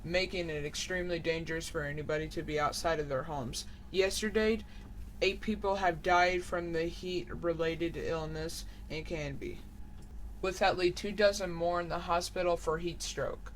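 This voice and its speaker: Male actor as breaking-news anchor, fairly high-pitched, formal and serious, light background noise. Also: steady tone, moderate pace, lightly acted, low-quality recording. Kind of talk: scripted TV news report.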